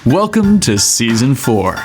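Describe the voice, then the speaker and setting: A man's voice: medium pitch, sing-song, takes it slowly, music in the background. A man hosting a podcast and narrating a scripted story in a theatrical, suggestive way.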